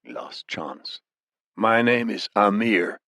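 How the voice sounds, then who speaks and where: A man voicing a muscular, menacing Iranian interrogator in an audiobook, speaking softly with mock politeness in an Iranian accent. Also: lowish voice, lively intonation, no echo, clean studio sound, heavily acted. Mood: quiet threat. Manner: soft-spoken, slow, mock-polite menace.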